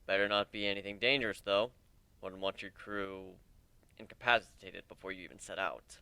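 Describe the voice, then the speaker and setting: A man performs a stoic, mysterious male companion in a fantasy story. He speaks in a lowered, measured voice, calm and serious, with a dry, close sound. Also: smooth, no room sound. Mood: dry warning.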